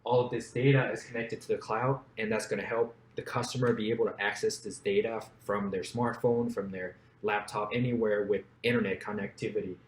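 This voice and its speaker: Young guy from thailand doing a startup pitch, lowish voice, roomy echo. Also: fairly even intonation, fairly quick, few pauses. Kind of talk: business pitch presentation. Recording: roomy echo, faint background noise.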